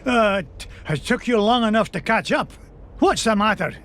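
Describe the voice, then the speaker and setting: A man voicing an old, gruff Scottish duck tycoon who is blustery and protective of his nephews. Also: high voice, animated, normal speed, fairly bright tone, a little hiss or hum. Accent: put-on Scottish. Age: older. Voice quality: brusque, blustery. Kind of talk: video game cutscene acting.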